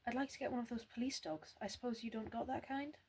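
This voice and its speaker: A woman voicing an eager, showy young woman, lifting her voice a little higher and brighter for the character in an amateur read-aloud of a novel. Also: fast, slight background noise, lightly acted. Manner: eager, gushing, raised pitch.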